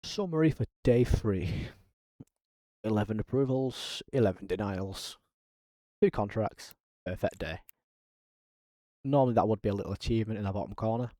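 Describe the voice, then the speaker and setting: A man chats casually over his gameplay, his voice low-pitched and mellow. He calls his own delivery monotone, and it is dotted with ums and fillers as he narrates what he does on screen. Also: normal speed, long pauses, no room sound. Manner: self-described monotone, frequent ums. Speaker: gaming channel host.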